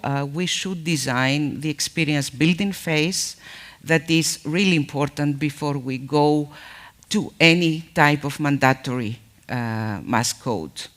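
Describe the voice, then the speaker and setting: Slow, slightly high-voiced man, formal conference welcome, measured with many fillers. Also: a bit bright. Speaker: conference speaker.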